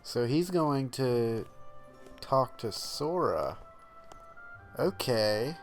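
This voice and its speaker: Reaction host, male, mid-pitched, unhurried and halting, casual, faint music behind. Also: animated. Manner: halting, stumbles when reading aloud. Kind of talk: casual reaction commentary with reading aloud.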